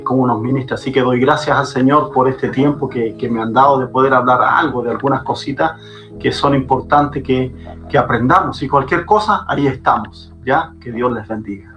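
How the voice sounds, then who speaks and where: A man speaks as a pastor, fervent and insistent, circling back to the same words to stress them. His voice sits at an ordinary pitch for a man. Guitar music plays clearly underneath the whole time. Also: normal speed, a bit bright. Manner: fervent, repeats phrases for emphasis. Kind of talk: preaching guest in a podcast conversation. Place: Chile.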